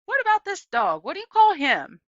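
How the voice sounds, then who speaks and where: A woman's voice: very high-pitched, wildly swooping pitch, brisk, dry recording, muffled recording, pitched above the performer's own voice, full character voice. A woman voicing a kindly, toothless old woman in a storybook, welcoming and folksy in her way of talking. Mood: amused, hearty. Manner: folksy, rural turns of phrase.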